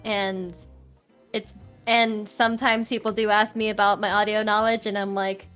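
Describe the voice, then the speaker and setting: A young woman with a high-pitched, loud voice, chatting casually as an interview guest, with many fillers and restarts. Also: level tone, normal speed, soft background music. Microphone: home streaming mic with EQ and compressor.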